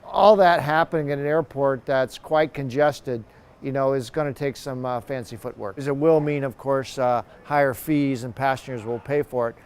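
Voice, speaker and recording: A man's voice: medium pitch, fairly even intonation, moderate pace, clean tone. A man speaking as a professor and expert guest, giving conversational, explanatory commentary in a news interview.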